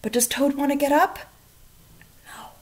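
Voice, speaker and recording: A woman speaking as a first grade teacher in a children's read-aloud, expressive and putting questions to the listener. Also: high-pitched, moderate pace.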